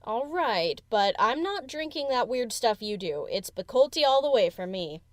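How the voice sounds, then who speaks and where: Woman as a cheeky, lively DJ pony, dry sound. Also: fairly high pitch, normal speed, dry recording. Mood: teasing. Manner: casual, cocky, teasing. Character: cheeky, brash, teasing female DJ pony. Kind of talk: fan audio drama character acting.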